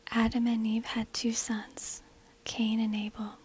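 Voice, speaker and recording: Breathy whispering woman, slow ASMR storyteller. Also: fairly high pitch, completely flat delivery, poor audio. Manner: slow, hushed, deliberate phrasing. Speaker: narrator. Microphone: close binaural microphone.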